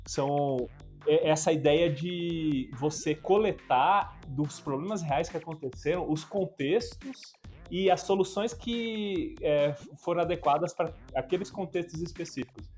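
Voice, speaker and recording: A man's voice: on the high side, measured pace, darkish tone, soft background music. A man with a Brazilian accent on a tech podcast, patiently explaining ideas with frequent tag questions. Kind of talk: casual tech discussion.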